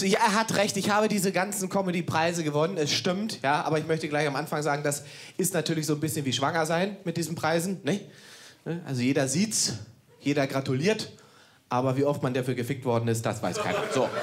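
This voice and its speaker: A man's voice: a little high, noisy background. A man in his thirties from East Germany doing a sarcastic, ranting stand-up set on a handheld mic in a small cellar club. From Saxony-Anhalt, East Germany. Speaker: stand-up comedian. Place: small cellar comedy club with live audience. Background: audience laughter.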